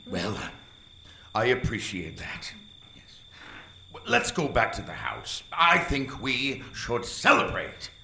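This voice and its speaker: A man's voice: medium pitch, huge pitch swings, slow pace, creaky, pauses often, bright, forceful tone, full character voice. A man playing a pompous, indignant research scientist in a comic radio play, with wordy, theatrical delivery, recorded live on a stage. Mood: mollified, scheming. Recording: echoey live theater stage, faint noise.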